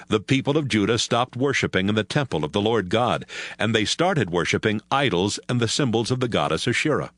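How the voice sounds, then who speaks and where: Low-voiced, expressive male Bible narrator. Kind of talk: scripted dramatic Bible narration.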